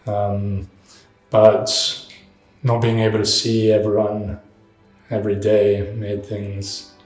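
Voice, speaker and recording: An easygoing man in his late twenties from London speaks in a low, even voice. His voice has a roomy echo with faint music underneath. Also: slow pace. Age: 20s. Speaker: interviewee.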